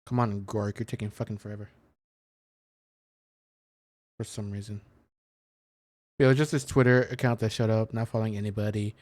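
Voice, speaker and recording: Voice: mid-range voice, steady tone, moderate pace, pauses often, a little mellow. A video game streamer giving casual, rambling play-by-play commentary, muttering to themself and trailing off.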